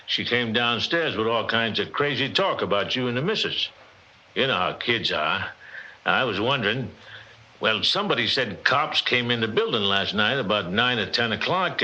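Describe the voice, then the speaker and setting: A man's voice: mid-range voice, sharp tone. An actor in a 1960s radio drama playing an apologetic, prying building superintendent, hesitant and folksy, circling his questions. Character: nosy, apologetic building superintendent, a family man. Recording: dull old radio recording.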